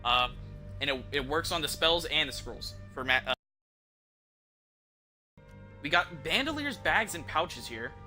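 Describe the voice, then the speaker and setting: Bright, forceful man rambling over game music. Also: fairly high pitch, animated, measured pace, pauses often. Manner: informal, mildly profane, thinking aloud. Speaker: game streamer. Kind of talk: casual rambling gameplay commentary.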